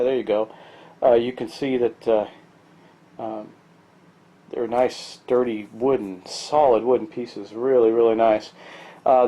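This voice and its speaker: A middle-aged man with a mellow, dark tone and a stuffy, congested sound. He talks through a tabletop game in a loose, rambling way, full of ums and false starts. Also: mid-range voice. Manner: rambling, many ums and restarts. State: stuffy from allergies. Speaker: board game reviewer. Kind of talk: casual tabletop game walkthrough.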